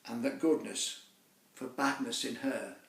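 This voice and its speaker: A man recites a children's story poem in a roomy, echoing space. He sits a little away from the microphone. His delivery is slow and deliberate, with theatrical storytelling phrasing. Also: mid-range voice, fairly bright tone. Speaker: poet reading his own children's poem. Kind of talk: dramatic rhymed storytelling for children. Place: echoey room, away from the mic. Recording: roomy echo, distant microphone.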